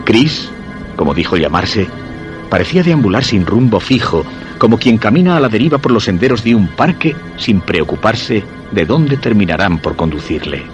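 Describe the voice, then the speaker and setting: A low-voiced actor as a shy, obsessed man from a suspense radio play, speaking with a Spain accent. He confesses in measured, literary phrases with lively swings of pitch, over clear background music. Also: lightly acted. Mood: measured, brooding. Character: Guillermo, shy obsessed man secretly following a young woman. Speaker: narrator and lead actor. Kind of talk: first-person suspense radio drama narration.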